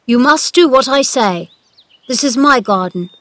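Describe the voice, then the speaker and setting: A woman voicing a frail but imperious young boy in a storybook, high-strung and commanding, with emphatic delivery. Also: animated, measured pace, fairly bright tone, no room sound.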